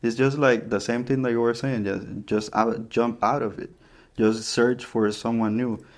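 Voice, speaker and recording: A man's voice: mid-range voice, steady tone, normal speed. A man from Honduras with a Honduran Spanish accent, whose first language is Spanish, co-hosting a podcast in casual chat, often stopping to restart phrases and search for words. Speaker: podcast co-host.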